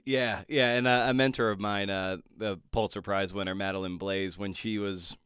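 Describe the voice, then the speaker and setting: A man with a smooth, clear voice hosts a casual interview, recorded close and dry. He talks haltingly, searching for the right words as he goes. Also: lowish voice, fairly even intonation, fairly bright tone, dry recording. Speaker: podcast host.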